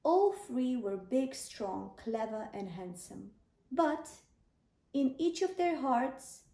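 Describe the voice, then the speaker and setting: Slow, high-voiced woman reading a bedtime story. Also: creaky, warm tone. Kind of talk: children's bedtime story narration. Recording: roomy, distant microphone.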